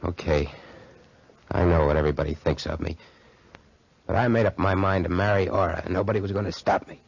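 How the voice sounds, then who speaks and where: Brash young cad, low voice, slangy and cocky, muffled 1940s radio drama recording. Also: male voice, creaky, a little mellow. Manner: slangy, cocky tough-guy talk. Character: brash smooth-talking young cad, scheming fraternity man.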